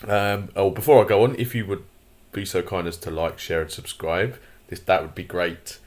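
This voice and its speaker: A man hosting a casual commentary vlog, his voice croaky, rambling with lots of fillers and opinionated asides. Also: deep voice, steady tone, normal speed, darkish tone. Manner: rambling, many fillers and restarts. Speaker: channel host.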